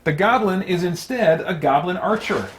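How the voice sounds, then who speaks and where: A man hosting a solo tabletop game, giving casual, unscripted commentary and haltingly thinking aloud as he plays. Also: high-pitched, expressive, noisy background.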